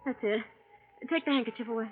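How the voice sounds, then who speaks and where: Tinny vintage radio, earnest high-voiced woman, acted. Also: quick pace, lightly acted. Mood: concerned. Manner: earnest, pleading, dramatic. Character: anguished, conscientious young woman caregiver torn by love. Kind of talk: 1940s radio melodrama acting. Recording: tinny, noisy old radio recording.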